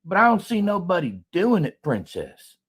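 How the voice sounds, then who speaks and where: A man's voice: high-pitched, wildly swooping pitch, normal speed, slight background noise. A man hosting a casual commentary stream, rambling with restarts and mocking remarks.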